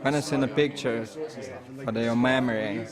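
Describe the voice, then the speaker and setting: A man from Russia with a Russian accent, talking casually and haltingly as he searches for words in English. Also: medium pitch, steady tone. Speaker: engraver, coin carver. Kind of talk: casual interview talk. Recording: busy outdoor crowd chatter behind.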